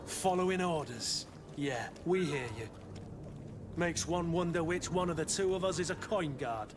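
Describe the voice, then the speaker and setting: An actor playing an indignant, blunt soldier captain and bodyguard in a video game. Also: male voice, fairly high pitch, moderate pace, background noise, natural voice. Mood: indignant, sardonic. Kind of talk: scripted game dialogue. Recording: music behind the voice.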